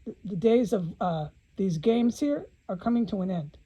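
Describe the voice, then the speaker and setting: Woman, low-lit mellow tone, raspy edge, informal monologue, emphatic, sweary, aggrieved, repetitive phrasing. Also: lively intonation, normal speed, rough recording. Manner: blunt, profanity-laden, emphatic, repeating phrases. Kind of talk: informal spoken video monologue to viewers.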